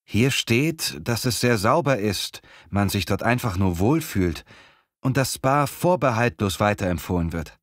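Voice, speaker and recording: A man playing a friendly young apprentice in a scripted language-learning dialogue, speaking clearly and in a measured way. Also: on the low side, animated, no room sound, clean studio sound. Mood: agreeable, practical. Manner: clear, measured, textbook delivery.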